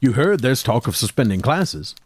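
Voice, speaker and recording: An actor playing an earnest, cautious young medical student in a horror audio drama, speaking politely and formally. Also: male voice, mid-range voice, sing-song, normal speed, husky, no room sound. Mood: calm, cautious.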